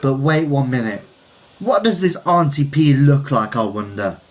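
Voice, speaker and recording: A man's voice: medium pitch, lively intonation, normal speed, lightly acted. A man voicing an affable, placating working carpenter in a read-aloud short story. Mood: wry.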